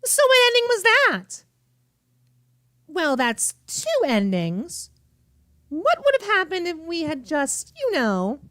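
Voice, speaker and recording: High, animated woman playing host. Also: slow, pauses often, no room sound. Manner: theatrical, teasing. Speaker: vtuber host. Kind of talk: dramatic read-aloud of a horror visual novel with playful commentary.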